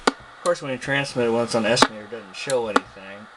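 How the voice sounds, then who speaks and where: A man casually showing off a piece of his collection on camera, speaking in a halting, plainspoken way. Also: medium pitch. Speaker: hobbyist video presenter, radio collector. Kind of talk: casual product show-and-tell, unscripted. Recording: loud steady hum and hiss.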